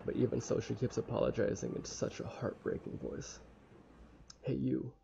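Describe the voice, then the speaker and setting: A young man with a casual, halting, sweary manner reading a visual novel aloud and commenting as he plays. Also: mid-range voice, little pitch movement, gravelly, mellow. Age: young adult. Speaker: let's play streamer. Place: small room at home. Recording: dull lo-fi mic with faint noise.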